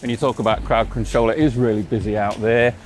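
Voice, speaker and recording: A man's voice: medium pitch, fairly even intonation, quick pace, darkish tone. Male interviewer with music behind him. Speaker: interviewer and presenter. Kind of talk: promotional on-location interview, chatty questioning.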